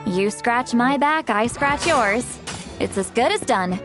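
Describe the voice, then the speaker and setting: A woman's voice: on the high side, animated, slow pace. An actress voicing a confident, easygoing young woman who talks in a breezy, casual way. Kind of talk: game voice acting. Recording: recorded game audio with music behind. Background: game music.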